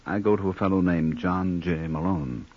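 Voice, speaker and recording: An actor playing a mock-genteel, menacing hired killer turned blackmailer, deliberate and insinuating, in old-time radio crime drama. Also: male voice, low-pitched, muffled recording. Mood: quietly menacing.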